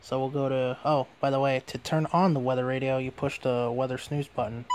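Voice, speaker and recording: A man's voice: medium pitch, little pitch movement, fairly quick, background noise. A man giving a casual hands-on product demonstration as a reviewer.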